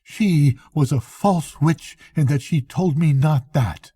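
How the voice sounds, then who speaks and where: A man's voice: a little high, slow pace, mellow, husky, no room sound, studio quality, voice pushed up, exaggerated voice. An older man voicing an anguished, resolute young fisherman in a storybook reading, with earnest, archaic speech. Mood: bitter.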